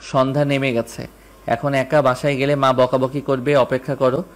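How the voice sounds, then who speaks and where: A man with a Bangladeshi accent playing a calm, serious doctor who gives curt, composed instructions in an audio romance. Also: mid-range voice, moderate pace, lightly acted. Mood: calm, firm. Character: calm, serious male heart doctor, terse and commanding. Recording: music under the voice.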